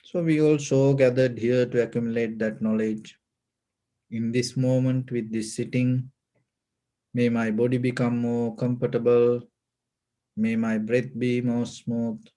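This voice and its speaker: A man with a Sri Lankan accent leading a guided meditation as a Buddhist teacher, giving gentle, measured instructions with short pauses. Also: mid-range voice, little pitch movement.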